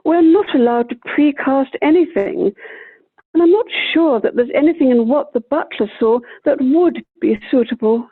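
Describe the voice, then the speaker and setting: A woman playing a tactful, placating amateur theatre director in a radio play, polite and reassuring. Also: high voice. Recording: tinny, phone-like video call audio.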